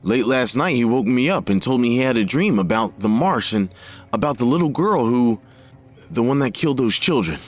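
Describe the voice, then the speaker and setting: A man acting as a worried father in an audio drama. He speaks gravely, with halting phrases. His middle-pitched voice rises and falls expressively. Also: expressive, soft background music, natural voice. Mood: disturbed.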